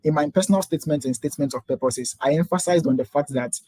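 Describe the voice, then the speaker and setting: A young Ghanaian man talking quickly and eagerly as an interview guest, laying out his story step by step. His voice is mellow and a little husky, and the recording is dry and close. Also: on the high side, dry recording. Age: 20s. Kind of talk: interview answers.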